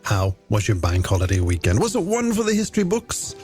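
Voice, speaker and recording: Lively Irish male late-night radio host. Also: fairly low pitch, fairly quick. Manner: chatty, companionable patter addressed to listeners. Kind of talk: late-night music radio presenting, scripted links. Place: radio studio. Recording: music bed behind the voice. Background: music.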